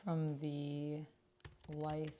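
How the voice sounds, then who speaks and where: A woman streamer chatting casually and reading typed roleplay aloud, sometimes halting as she types along. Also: deep voice, monotone, mellow, slight background noise. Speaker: streamer playing an online roleplaying game.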